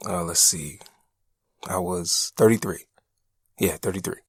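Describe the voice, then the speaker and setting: A man's voice: low-pitched, steady tone, measured pace, slightly rough, full character voice. An American man playing a subdued military veteran in an acted interview, with an understated, hesitant delivery. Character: subdued male military veteran, thirties or older, recalling trauma. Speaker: voice actor in a training simulation. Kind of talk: acted clinical interview testimony.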